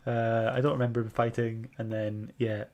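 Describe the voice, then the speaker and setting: A man's voice: medium pitch, level tone, moderate pace, a little mellow. A man chatting casually about comics as a podcast host, hesitant and searching for his words. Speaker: podcast book club host. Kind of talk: casual comic book discussion.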